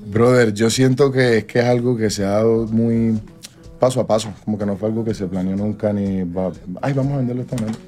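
A man's voice: lowish voice, steady tone, fairly quick, warm tone, soft background music. A Colombian man in his twenties, a singer talking casually in an interview, rambling with slang and restarts. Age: 20s.

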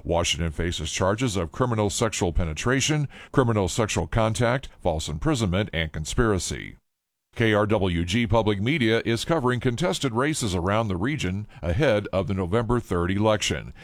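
Man, local news, very deep voice, dry close sound. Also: no echo. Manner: steady, measured reading. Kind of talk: scripted newscast.

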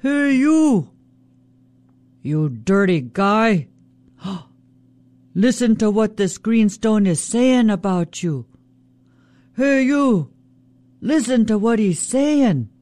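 A woman voicing a loud, scheming male trickster raven who yells taunts and insults. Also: mid-range voice, big pitch swings, drawn-out words, pauses often, no room sound, pitched above the performer's own voice. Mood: taunting, yelling. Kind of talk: traditional oral storytelling.

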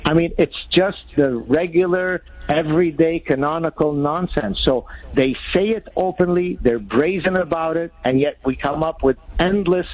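A man's voice: fairly high pitch, no echo. A man from Lebanon, an Arabic speaker, making pointed, emphatic arguments as a radio interview guest. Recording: tinny phone line.

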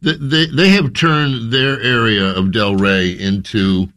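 Playful jokey guy cohosting a radio show, mid pitch. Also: slow, fairly bright tone. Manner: joking, playful. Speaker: radio food show co-host. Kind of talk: casual radio chat. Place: radio studio.

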